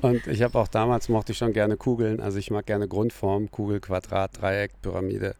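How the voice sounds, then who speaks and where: Low-voiced male artist being interviewed. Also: little pitch movement, normal speed, vocal fry, a little mellow, no echo. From Germany. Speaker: light artist, guest. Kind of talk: casual interview talk.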